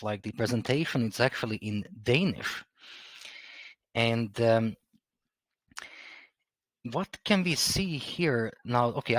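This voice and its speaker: Hesitant low-voiced man explaining history. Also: fairly bright tone, dry recording. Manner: hesitant, many ums and restarts, searching for words. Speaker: history channel host. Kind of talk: casual explanatory talk to camera. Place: Stockholm.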